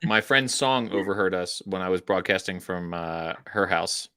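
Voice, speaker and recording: The lowish-voiced guy on a weekly sports chat show, joking with his co-hosts, close and dry. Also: moderate pace, pushed and bright, clear, resonant tone, no room sound. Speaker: co-host of a baseball talk show. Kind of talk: casual chat.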